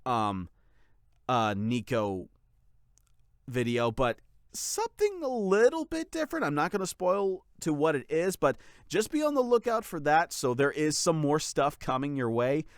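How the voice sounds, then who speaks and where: A man giving a casual update to his followers as a channel creator, speaking in a gushing, grateful, informal way with a clear, smooth voice. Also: on the high side, wildly swooping pitch, measured pace, no room sound, studio quality.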